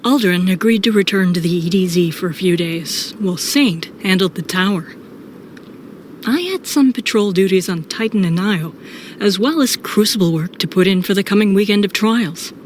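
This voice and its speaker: A woman's voice: medium pitch, moderate pace, slight background noise, natural voice. A woman playing a dutiful guardian warrior, narrating events in a scripted audio drama, weary but resolute. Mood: measured, concerned.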